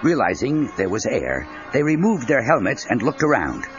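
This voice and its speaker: A man's voice: mid-range voice, huge pitch swings, moderate pace. A man narrating a children's adventure story in a scripted, dramatic style. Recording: dull cassette with music underneath. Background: background music.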